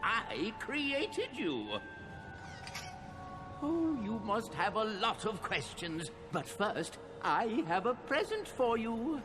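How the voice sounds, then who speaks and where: An actor playing a gently amused, fatherly elderly inventor, speaking slowly, with echo and game music behind. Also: high-pitched, big pitch swings, heavily acted. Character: kindly elderly inventor father, a digital recording. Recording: roomy echo from a distance, with music.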